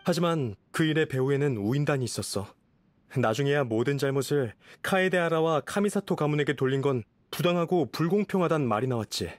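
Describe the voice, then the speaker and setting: An actor playing a courteous young noble official in a game, composed and reassuring, speaking in a measured, formal way. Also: male voice, on the high side, expressive, no room sound, close to an everyday voice. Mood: grave, explanatory. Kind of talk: game voice acting. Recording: music behind the voice.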